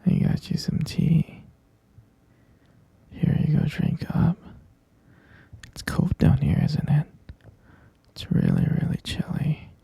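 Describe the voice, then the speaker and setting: A man's voice: fairly high pitch, gravelly, slightly rough, no room sound, heavily acted. A man playing a whispering possessive yandere captor. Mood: coaxing. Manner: soft, clingy, soothing whisper with creepy insistence. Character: obsessive yandere male kidnapper, sweetly possessive. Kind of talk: ASMR roleplay.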